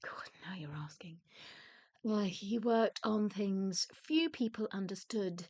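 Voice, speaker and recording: A woman's voice: medium pitch, slow pace, darkish tone, no room sound. A woman with a British accent playing a weary, resentful, blunt and sardonic widow in a radio play. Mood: dry, guarded.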